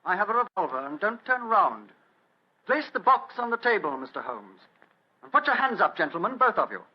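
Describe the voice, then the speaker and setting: Smug, murderous English butler, old radio mystery: formal courtesy over menace, swooping delivery, muffled recording. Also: male voice, high-pitched, very animated, brisk, husky, full character voice. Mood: commanding menace. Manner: formal, elaborately polite menace. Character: coldly polite, smug English butler turned murderous thief. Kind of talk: 1940s radio mystery acting.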